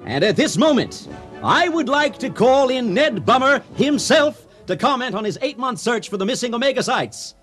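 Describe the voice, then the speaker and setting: An actor playing a pompous, effusive storyteller and ceremony announcer in a mock-dramatic radio play. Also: male voice, very high-pitched, very animated, normal speed, fairly bright tone, soft background music, exaggerated voice. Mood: grand, excited. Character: grandiose, excitable male storyteller and ceremony announcer.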